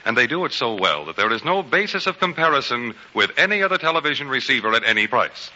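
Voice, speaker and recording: A man's voice: mid-range voice, very animated, fast talker, pushed and bright. An American man working as a radio announcer, reading scripted sponsor copy in a formal, emphatic 1940s style. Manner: emphatic, formal, polished phrasing. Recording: muffled 1940s radio broadcast, hall echo.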